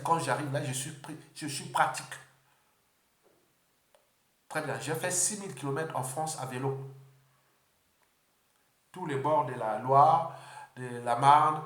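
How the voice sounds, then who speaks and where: Male political speaker from Congo, mid pitch, unscripted and halting, reverberant room. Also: a bit bright. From Republic of the Congo. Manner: halting, repeats words, many fillers.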